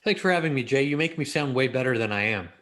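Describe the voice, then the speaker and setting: A man's voice: medium pitch, expressive, fast talker, fairly bright tone. A middle-aged man, born in Israel and raised in Los Angeles, chatting as an interview guest and telling stories with lots of "you know" fillers. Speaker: guest, creativity expert. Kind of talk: casual storytelling interview.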